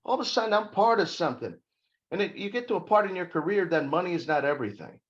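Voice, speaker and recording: A middle-aged man from Brazil speaks in a fairly high voice, emphatic and a little preachy as he lays out his ideas. His voice comes through muffled, lo-fi call audio. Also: lively intonation, fast talker. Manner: emphatic, preachy, self-deprecating jokes. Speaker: corporate security guest. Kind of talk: podcast interview conversation.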